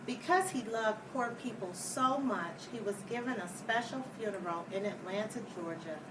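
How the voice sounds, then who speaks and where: A woman reading a children's picture book aloud like a schoolteacher, deliberately, with explanatory asides for young listeners. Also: mid-range voice. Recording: distant mic, clear background noise.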